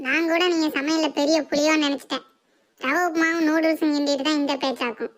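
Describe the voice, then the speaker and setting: Woman acting a high-pitched bossy housewife. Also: steady tone, fast, lightly acted. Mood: mocking. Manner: bossy, challenging, sarcastic. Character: assertive, sharp-tongued Tamil housewife and mother. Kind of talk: comic cartoon dialogue.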